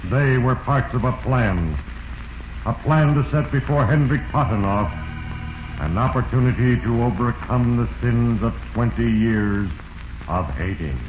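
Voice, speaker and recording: An actor playing a sinister, all-knowing narrator of destiny, portentous and heavy-handed, in 1940s radio drama style. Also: male voice, mid-range voice, expressive, measured pace, lightly acted. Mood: ominous. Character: Fate, sinister omniscient narrator. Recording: echoey, muffled, noisy 1940s radio.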